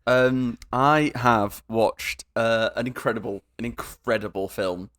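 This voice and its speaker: A man's voice: medium pitch, a little slow, fairly bright tone. A young man co-hosting a casual podcast, rambling and laughing as he drifts into tangents. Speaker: podcast co-host.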